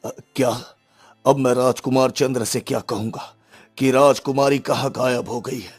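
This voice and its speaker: A man's voice: medium pitch, steady tone, normal speed, slightly rough, soft background music, close to an everyday voice. A man voicing an anxious middle-aged king and father in a cartoon story, speaking in a solemn, troubled way. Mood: worried. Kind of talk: Hindi cartoon story voice acting.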